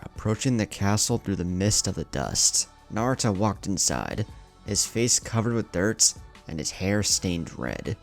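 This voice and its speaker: A man with a low, lively voice narrates a dramatic story. His delivery swings in pitch while keeping a gravelly edge, with music underneath. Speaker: fan fiction narrator.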